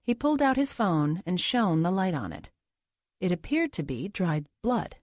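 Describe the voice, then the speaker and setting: A woman's voice: lowish voice, big pitch swings, moderate pace, darkish tone, no echo, clean studio sound. A woman reading scripted thriller audiobook narration.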